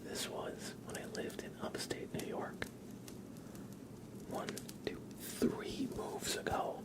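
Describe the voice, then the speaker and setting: ASMR streamer, whispered voice, very slow pace, soft casual rambling, livestream chat.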